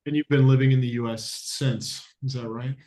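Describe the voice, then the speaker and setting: A man's voice: medium pitch, steady tone, normal speed, dark tone. A man hosting a podcast interview, reading a guest's bio and then talking in an earnest, hesitant way with many restarts. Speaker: podcast host. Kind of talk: conversational interview. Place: lives in a Latin American country.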